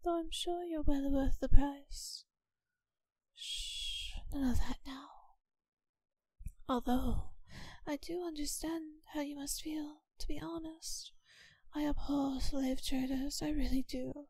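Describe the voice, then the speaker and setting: A woman playing a kind but possessive, wealthy vampire mistress in a soft ASMR audio roleplay, speaking in a gentle, breathy near-whisper with genteel, unhurried reassurance. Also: high-pitched, dry recording. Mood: gently firm.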